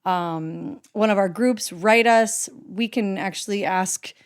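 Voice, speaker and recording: A woman's voice: mid-range voice, slow pace, smooth. A woman co-hosting a podcast, giving casual, encouraging advice and laughing often. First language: English. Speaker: podcast co-host. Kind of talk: casual chat.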